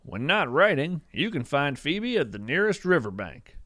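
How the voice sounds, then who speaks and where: A man playing an earnest, awestruck naturalist, narrating in a poetic, measured storytelling style. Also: fairly high pitch, very animated, sharp tone, dry recording, clean studio sound, heavily acted. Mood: earnest, admiring. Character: awestruck bearded male naturalist who studies strange creatures. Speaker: host and narrator of a fiction podcast. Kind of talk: scripted first-person narration, storytelling.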